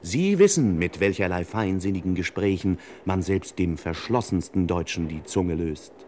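The narrator of a vintage radio detective spoof. His voice is deep and his delivery pompous, ornate and ironically admiring. The old recording carries slight noise. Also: lively intonation, normal speed, a little mellow. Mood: mock-solemn. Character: mock-solemn male narrator of a detective spoof.

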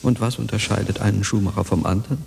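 A man's voice: on the low side, fairly even intonation, quick pace, vocal fry, a little mellow, a little hiss or hum. A man playing a gloomy, philosophising shoemaker in a radio play, sententious and fatalistic.

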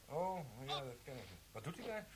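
An actor playing a curious police sergeant in a radio play, dry and inquisitive. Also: male voice, on the high side, sing-song, a little fast, a crowd in the background. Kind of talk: broadcast radio play acting.

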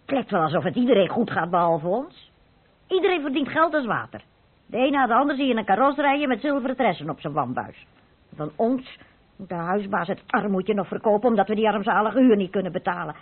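An actress playing the worried, scolding wife of a penniless painter in a vintage radio play, husky and plaintive. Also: female voice, mid-range voice, lively intonation, brisk. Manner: reproachful, plaintive, nagging. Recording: muffled narrow-band old radio recording.

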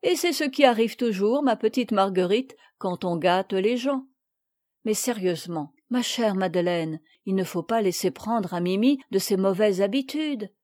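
A woman reading an audiobook, voicing a calm, wise mother in a measured, gentle way. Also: mid-range voice, natural voice.

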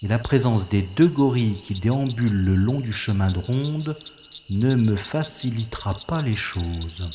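A man playing a space secret agent on a covert mission, deliberately narrating his actions to himself in an audio drama monologue. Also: on the low side, expressive, warm tone. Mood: focused, wary. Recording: roomy reverberant sound with faint noise.

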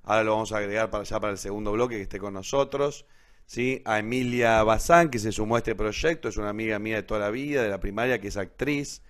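An Argentine man hosting a live online show casually, in a rambling, halting way that often corrects itself. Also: on the low side. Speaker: host of an online radio theatre show.

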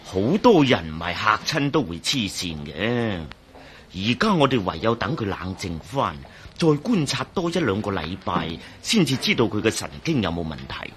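Low, lively Cantonese doctor. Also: male voice, moderate pace, slight background noise. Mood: explaining, cautious. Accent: Hong Kong Cantonese. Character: obliging male hospital doctor, longtime friend, careful. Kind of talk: radio drama acting.

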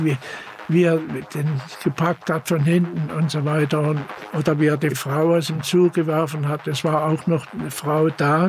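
A man recalling events as an eyewitness in halting, conversational speech with tag questions. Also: fairly high pitch, slight background noise, poor audio. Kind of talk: conversational recollection.

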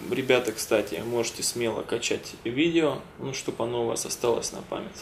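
A man's voice: medium pitch, fairly quick, a little hiss or hum. A man co-hosting a video, chatting casually to viewers. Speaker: video co-host.

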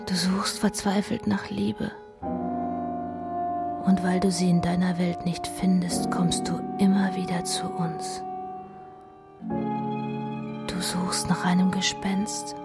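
An actress playing a melancholy woman of the underworld, hushed and dreamy, often slipping into whispers in a radio drama. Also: on the low side, flat delivery, takes it slowly, gravelly, pauses often. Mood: detached, gentle. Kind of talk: literary radio drama acting. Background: prominent piano music.

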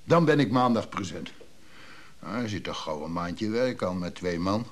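An actor playing a gruff, sly old craftsman in a care home in a Dutch radio play, blunt and grumbling with a chuckle. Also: deep voice, lively intonation, moderate pace. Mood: grumbling, fond. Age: older.

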